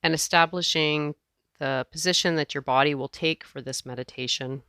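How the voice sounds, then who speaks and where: A woman teacher leading a guided meditation in a gentle, measured way, leaving space between phrases. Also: low voice, fairly even intonation, smooth, no room sound, clean studio sound.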